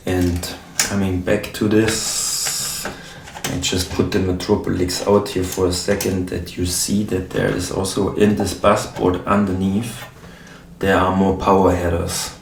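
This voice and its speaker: A low-voiced man with a German accent talks in a slow, unhurried way while he works. He often stops to hunt for English words. He sits well back from the mic in a roomy space with faint background noise. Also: little pitch movement, vocal fry, distant mic. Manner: halting, searching for English words. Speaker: modular synth hobbyist. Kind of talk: casual talk while assembling gear.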